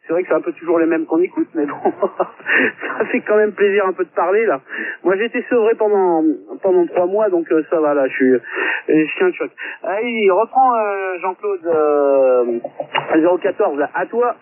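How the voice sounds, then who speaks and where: A man in a casual amateur radio chat, speaking in a halting, repetitive way over a radio transmission. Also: high voice, animated. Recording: tinny narrow-band radio link, faint static and clicks.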